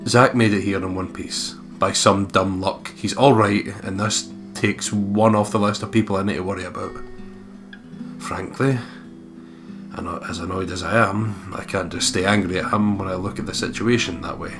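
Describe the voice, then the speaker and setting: Guy with a low voice doing a visual novel lets play, guitar music going behind him. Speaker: let's play host. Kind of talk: let's play: reading a visual novel aloud with banter. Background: guitar music from the game.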